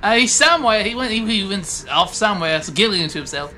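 A goofy, jelly-obsessed soldier as put on by an amateur dubber rambles and bickers offhandedly. The voice is bright and forceful at a middling pitch. Faint music plays behind this comic game dub. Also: expressive, fast talker. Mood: casual. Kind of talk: improvised comedy fan dub of a video game.

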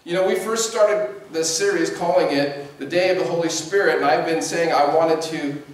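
A man in his forties preaches from the pulpit in a relaxed, conversational way, gesturing as he talks. His voice sits fairly high for a man. It is picked up from across a church sanctuary, heavy with echo. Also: normal speed. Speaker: pastor. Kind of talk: sermon. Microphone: distant camera mic. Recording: very echoey church from a distance.

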